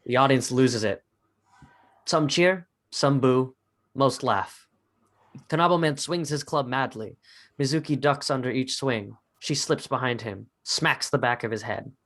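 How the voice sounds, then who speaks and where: A man with a medium-pitched voice narrating stage directions in a dramatic script reading. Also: measured pace, a bit bright.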